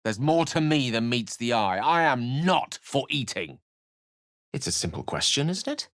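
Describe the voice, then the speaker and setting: An actor playing an erudite, courteous, wry wizard in a video game, speaking articulately with a theatrical, literary turn of phrase. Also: male voice, medium pitch, big pitch swings, measured pace, a bit bright, no room sound, clean studio sound. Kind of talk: video game voice acting, dialogue and narration.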